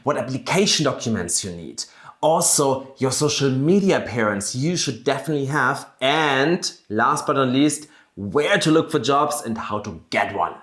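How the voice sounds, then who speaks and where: Male career coach, German accent, mid-range pitch, emphatic instructive delivery for an explainer video, roomy echoing sound. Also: lively intonation, normal speed, fairly bright tone. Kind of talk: explanatory video guide to camera.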